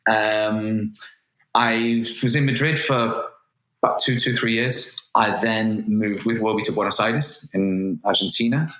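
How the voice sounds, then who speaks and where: Bright-voiced Manchester man, echoey room. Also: fairly low pitch, steady tone, measured pace. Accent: Manchester English. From England. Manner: hesitant, many restarts and ums. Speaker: podcast guest. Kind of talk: conversational interview.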